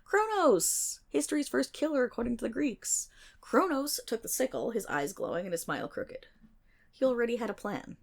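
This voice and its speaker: A woman's voice: on the high side, big pitch swings, moderate pace, vocal fry, exaggerated voice. A woman playing a nerdy, playful best friend in an ASMR roleplay, telling stories in a chatty, dramatic, self-interrupting way with mock exasperation. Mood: animated, dramatic. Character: nerdy, playful female best friend rambling excitedly about mythology. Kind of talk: ASMR roleplay, casual storytelling monologue.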